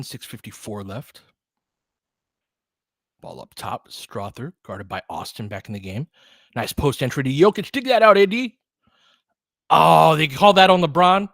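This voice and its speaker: A man's voice: medium pitch, fairly bright tone, dry recording, studio quality. An American man giving casual, conversational play-by-play commentary as a stream host, analytical and explanatory in the way he talks.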